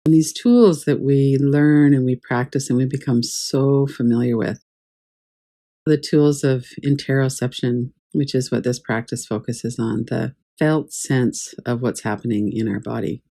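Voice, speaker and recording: A woman teaching guided meditation in a calm, measured, gently instructive way. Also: rumbling low. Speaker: yoga nidra meditation teacher.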